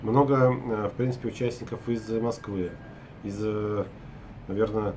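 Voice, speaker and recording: A man's voice: lowish voice, steady tone, a little slow, a little mellow, a little hiss or hum. A man in his forties from Russia, a property broker recapping his day to camera from a hotel room at night, talking hesitantly with many filler sounds and restarts.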